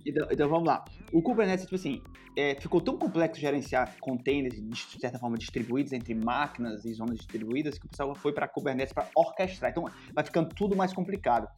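A man's voice: mid-range voice, darkish tone, faint music behind. A man with a Brazilian accent, co-hosting a casual tech podcast and laying out his opinions emphatically. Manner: opinionated, emphatic, quick bursts of listing. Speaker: podcast co-host.

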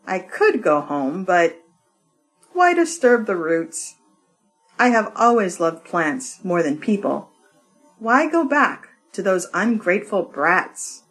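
Slow-paced woman reading poetry. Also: fairly low pitch, sing-song, fairly bright tone, soft background music, poor audio. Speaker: poetry reader.

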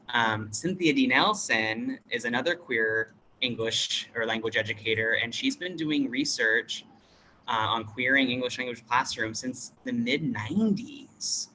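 A non-binary American teacher with a bright, forward voice speaks over a video call. They think aloud in a relaxed way, pausing and restarting often. Faint noise sits under the voice. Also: medium pitch, poor audio. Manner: thoughtful, hesitant, with many fillers and restarts. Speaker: teacher at an international school; workshop facilitator. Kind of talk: casual interview talk.

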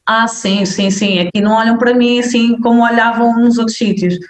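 A young woman with a northern Portuguese accent, a guest talking casually in an interview, lively and full of fillers and quick checks with the listener. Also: fairly high pitch. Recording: roomy echo.

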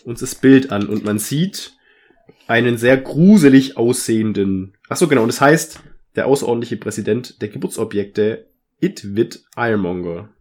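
A man with a slight cold talks through a book chapter on a casual podcast. His pitch sits in the middle range. He speaks loosely and fills pauses with hesitations and easy laughter. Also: animated, darkish tone. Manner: chatty, with many fillers and laughs. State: has a cold. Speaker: podcast co-host.

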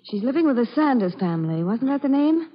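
An actress playing an earnest, determined American nurse with a professional, reassuring manner in a 1940s radio drama. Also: female voice, sits high, very animated, quick pace. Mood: anxious, puzzled. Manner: earnest, clearly delivered. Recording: dull old radio broadcast.

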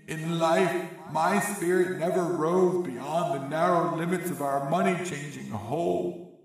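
A man voicing a doleful, weary ghost of an old man, slow and mournful, with a heavy ghostly reverb effect. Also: a little high, pitched above the performer's own voice. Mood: lamenting. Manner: slow, mournful, hollow intoning. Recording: echoing reverb, distant from mic.

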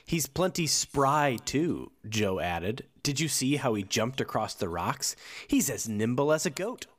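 Relaxed man reading aloud on a podcast. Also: mid-range voice, a little slow. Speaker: podcast co-host reading from a book. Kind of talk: casual podcast chat with read-aloud passages.

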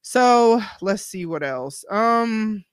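Woman, 40s, solo podcast host; smooth, clean voice, dry close recording; casual and rambling, encouraging. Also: mid-range voice, expressive, very slow, no room sound.

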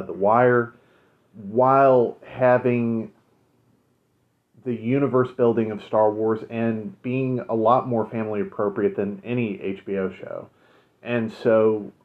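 A man co-hosting a podcast, chatting casually and recommending shows, relaxed with many fillers. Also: on the low side. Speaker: podcast co-host. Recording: phone-like narrow sound.

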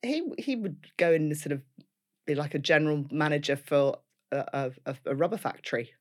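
A woman's voice: low voice, lively intonation, fairly quick. Chatty Liverpool woman, often laughing. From Liverpool, England. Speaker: guest. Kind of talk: casual life-story interview.

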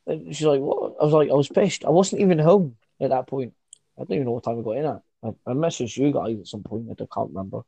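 A young man co-hosting a podcast, talking in excitable, sweary banter with frequent quick restarts. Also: mid-range voice, gravelly, slightly rough, no echo, low-quality recording. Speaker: podcast co-host.

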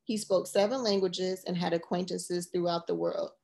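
A woman narrating a memoir as an audiobook, reading in a measured, even way. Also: lowish voice, creaky.

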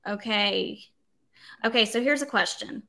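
A woman's voice: mid-range voice, measured pace, gravelly, sharp tone. An enthusiastic, emphatic woman chatting casually as an instructional coach leading a livestream tutorial and answering comments.

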